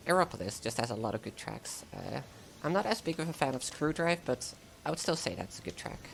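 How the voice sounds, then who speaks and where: Woman with a very low, gravelly voice. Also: sing-song, brisk, slight background noise. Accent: American-sounding English. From Germany. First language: German. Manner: casual, chatty, laughs often. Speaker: speedrunner. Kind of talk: live gameplay commentary.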